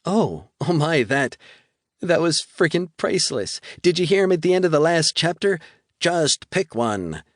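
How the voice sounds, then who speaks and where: Giddy, high-pitched young woman, as a man puts her on. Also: big pitch swings, measured pace, dry recording, clean studio sound. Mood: gleeful. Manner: giddy, teasing. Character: gleeful young woman assistant, mocking.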